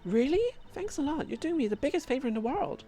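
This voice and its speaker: Player doing a girlish bakery gal for a game dub, high n bouncy, scolds a lot, swoops around. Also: lively intonation, fast talker, dark tone, soft background music. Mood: cheerful. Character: bubbly scolding young woman bakery assistant.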